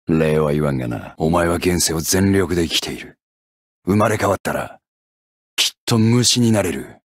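Husky, brooding gunman. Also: low voice, dry recording, studio quality, heavily acted. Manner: menacing, listless, nihilistic. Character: brooding death-seeking male gunman, scornful, longing for rebirth. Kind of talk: anime-style video game voice acting.

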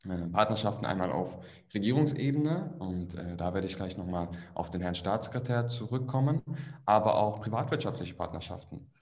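A man's voice: deep voice, lively intonation, normal speed, darkish tone. A young man moderating an online panel in German, asking polite, well-structured questions. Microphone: video call webcam.

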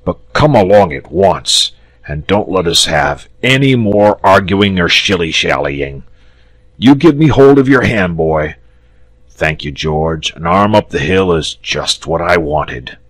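A big, lazy storybook dragon, as a male narrator plays him, low-pitched and slow. He is jovial and very pleased with himself, talking in a chummy, pompous drawl. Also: lively intonation, fairly bright tone, no room sound, full character voice. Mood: blustering. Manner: drawling, pompous, chummy old-fashioned British phrasing. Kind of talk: storybook character voice.

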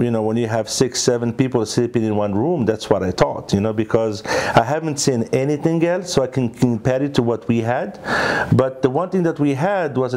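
Measured middle-aged man from Algeria. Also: mid-range voice, a little mellow, a little hiss or hum. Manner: slow, measured, many filler pauses. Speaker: guest, business executive. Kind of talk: personal story in an interview. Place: small studio with red backdrop.

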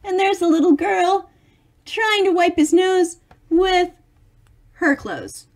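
A woman's voice: very high voice, slow. A middle-aged woman reading a children's picture book aloud, expressively, with chatty explanations for young listeners. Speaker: storybook reader for children.

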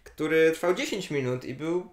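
A man's voice: on the high side, expressive, moderate pace, crowd noise. A young adult man casually discussing and reviewing in conversation. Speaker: film festival reviewer. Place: small living room, indoors, on a couch. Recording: roomy echo.

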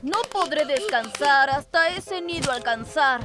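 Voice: high voice, lively intonation, a little slow. A performer voicing a playful, adventurous young lion cub in a children's cartoon, speaking in sing-song, exclamatory rhymes. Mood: determined. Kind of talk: rhyming children's cartoon narration. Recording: loud music under the voice.

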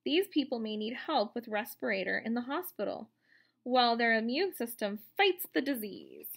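A woman's voice: fairly high pitch, very animated, normal speed, creaky, fairly bright tone. A woman in her thirties reading a children's book aloud, explaining simply and expressively. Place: indoors, room at home.

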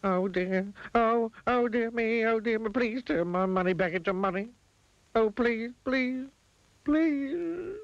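A man's voice: extremely high pitch, slow, vocal fry, no echo, lo-fi sound, pitched above the performer's own voice, exaggerated voice. A man voicing a mean, miserly storybook character who gets flustered and repeats himself anxiously. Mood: pleading. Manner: flustered, repetitive pleading or curt refusals. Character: mean miserly man, curt yet easily panicked.

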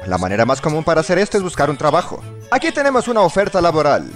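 Male comedic narrator, high voice with big swings, sarcastic and exaggerated, music underneath. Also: very animated, rapid-fire. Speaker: fandub narrator. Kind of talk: scripted comedic parody narration.

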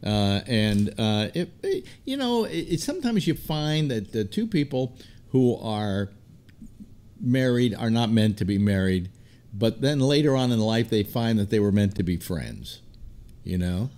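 A man's voice: on the low side, sing-song, unhurried, darkish tone. A man in his seventies with an American accent, hosting a talk show in a rambling, casual way from a desk microphone at home. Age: 70s. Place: home room lined with disc shelves.

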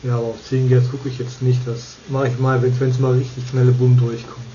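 A man's voice: mid-range voice, flat delivery, brisk, mellow. A man giving brief, casual replies as an amateur radio operator. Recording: muffled, noisy lo-fi radio sound.

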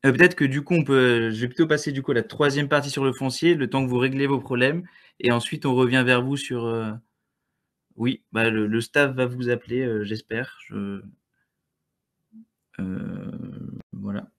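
A young adult man hosts an online panel from a room on a video call. His pitch is middling, and he moves the session along haltingly, handing over to speakers with some hesitation. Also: steady tone, fairly bright tone. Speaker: webinar moderator.

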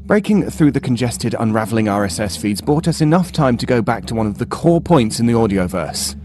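A harried shipmate played by a man talks in scripted audio drama style. His voice is mid-pitched, over faint ambient noise. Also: expressive, fairly quick, darkish tone, lightly acted. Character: harried male shipmate nursing a failing machine.

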